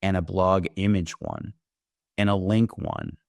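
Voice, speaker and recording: A man's voice: sits low, steady tone, measured pace, gravelly, no echo. A man giving a conversational product demo, explaining things step by step with the odd check question. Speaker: company founder giving a software demo.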